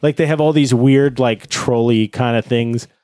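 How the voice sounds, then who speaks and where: A man's voice: medium pitch, fairly even intonation, normal speed, mellow, dry recording, clean studio sound. A man chatting casually and at length, nerdy and rambling, with fillers and casual swearing. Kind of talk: casual chat.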